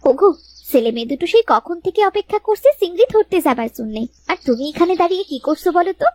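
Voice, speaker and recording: A woman's voice: very high voice, big pitch swings, quick pace, a little mellow, no room sound, studio quality, soft background music. A woman playing a worried village wife and mother in a cartoon, fretful but caring. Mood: mild nagging. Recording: noisy track with ambience behind.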